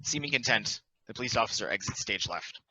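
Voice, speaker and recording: A man's voice: fairly low pitch, lively intonation, fairly quick, pushed and bright, no room sound. A man reading scripted narration as the narrator of stage directions. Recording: dry, dull lo-fi audio.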